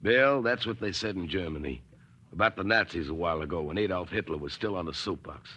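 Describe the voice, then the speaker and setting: Blunt earnest priest, lowish forceful voice, insistent, admonishing, vintage radio drama. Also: male voice, a little fast, a little hiss or hum. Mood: urgent warning. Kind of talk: 1940s radio drama acting.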